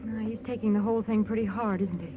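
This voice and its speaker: A woman's voice: medium pitch, steady tone, quick pace, natural voice. An actress playing a genteel young female companion with a breathless manner, in 1940s radio style. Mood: anxious. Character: refined young woman companion, anxious. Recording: dull, hissy old radio recording.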